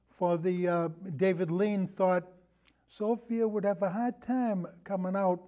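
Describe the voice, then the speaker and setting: A man in a casual film discussion, telling anecdotes in a halting, rambling way and often repeating his phrases. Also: sits high, fairly even intonation, dark tone. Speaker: podcast guest, film discussion.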